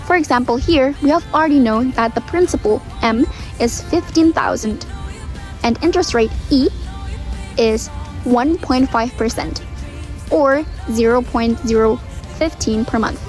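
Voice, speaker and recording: A woman's voice: sits high, unhurried, faint music behind. A young woman from Indonesia with an Indonesian accent, patiently explaining a math lesson as a student presenter. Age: teens. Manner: steady, patient explaining, switching between English and Indonesian.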